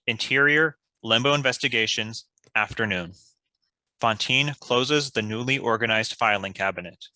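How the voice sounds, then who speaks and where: A man's voice: medium pitch, moderate pace, sharp tone, no echo. A man reading stage directions as scripted narration.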